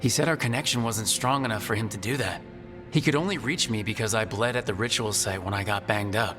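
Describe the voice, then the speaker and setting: A man voicing a composed, resolute mage leader in a video game, speaking in a measured, serious way. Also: lowish voice, lightly acted. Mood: grave, explaining. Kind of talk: video game dialogue acting. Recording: long reverb, game music behind.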